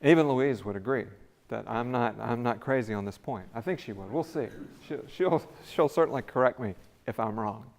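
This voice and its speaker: A man's voice: mid-range voice, normal speed, creaky. An older man giving a conversational lecture in a church sanctuary, with a big voice and rising emphasis on key words. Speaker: visiting scholar lecturer. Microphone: podium mic, then away from mic.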